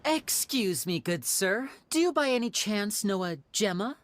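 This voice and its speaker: A man voicing a polite young magician in a video game, with a courteous, smooth-talking manner. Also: high-pitched, lively intonation, a little slow, a bit bright. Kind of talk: video game character acting. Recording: music behind the voice.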